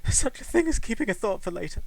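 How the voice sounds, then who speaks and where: A man voicing a weak, dying man who speaks wearily in a hushed, fading way. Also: high voice, sing-song, quick pace, creaky, no echo, heavily acted. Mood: weary. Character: weak, dying young man leaving a last message.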